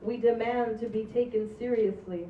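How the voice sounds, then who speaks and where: A woman's voice: on the high side, fairly even intonation, normal speed, slight background noise. A woman reads the scene headings and actions of a script aloud, plain and matter-of-fact. She sounds far from the mic, with a roomy echo and muffled, lo-fi sound. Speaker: stage directions reader. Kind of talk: reading script stage directions. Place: small stage with green backdrop. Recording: distant, roomy, dull audio.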